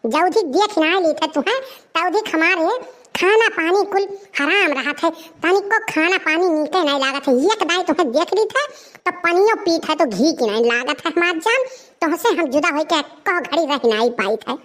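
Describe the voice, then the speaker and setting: A woman's voice: very high-pitched, lively intonation, moderate pace, a bit bright. A woman voicing a clingy, devoted village sweetheart in a comedy cartoon, gushing sentimentally with an Awadhi accent. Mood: besotted, gushing.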